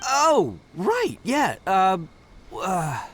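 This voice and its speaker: Brash young oni gang boss, lively swings. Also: male voice, high-pitched, extremely slow, full character voice. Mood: sheepish bravado. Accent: American. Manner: cocky, slangy, boisterous. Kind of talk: video game voice acting. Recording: music behind the voice. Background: game music.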